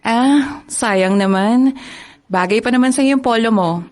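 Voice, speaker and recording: A woman's voice: a little high, unhurried, darkish tone, natural voice. An actress playing a kind, studious young college woman who is shy and eager to help in a radio drama. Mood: sweet. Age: young adult.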